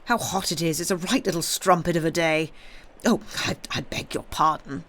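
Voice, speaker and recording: A man's voice: fairly high pitch, steady tone, moderate pace, a bit bright, lightly acted. A man voicing a fawning, garrulous innkeeper who stammers and complains as he serves, in an audiobook. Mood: flustered, eager. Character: fawning, garrulous, self-pitying male French innkeeper.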